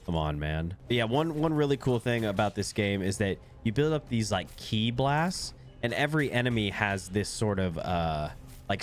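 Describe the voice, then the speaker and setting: A man's voice: lowish voice, slight background noise. A young man with a congested, nasal voice, casually commentating while he plays a video game at his desk, rambling with lots of fillers. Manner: laid-back, rambling with fillers. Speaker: gaming video creator.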